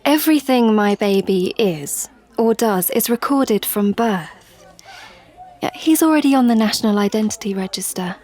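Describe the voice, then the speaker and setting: Earnest young mother, quietly regretful, played by an actress. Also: mid-range voice, animated, moderate pace, natural voice. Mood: earnest, reflective. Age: young adult. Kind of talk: audio play monologue.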